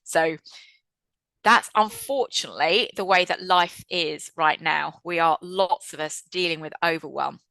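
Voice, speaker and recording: A woman in her fifties with a bright, clear voice, heard dry and close. She hosts a webinar over a video call in a chatty, reflective way. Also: low-pitched, measured pace, dry recording. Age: 50s. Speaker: webinar host and trainer. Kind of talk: presenting a webinar.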